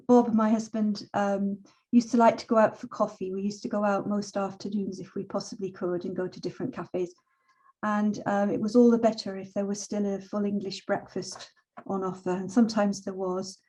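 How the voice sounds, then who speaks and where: A middle-aged woman with a mellow, unforced voice at an average pitch for a woman. She speaks reflectively and with measured phrasing, as a poet and teacher sharing personal words and verse. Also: low-quality recording. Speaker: poet and teacher, invited guest. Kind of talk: relaxed personal talk and poetry reading.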